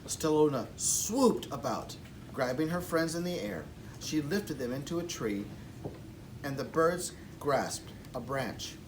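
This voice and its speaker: A man's voice: a little high, lively intonation, measured pace, distant mic, background noise. A man reading a children's storybook aloud as narrator. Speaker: storybook reader.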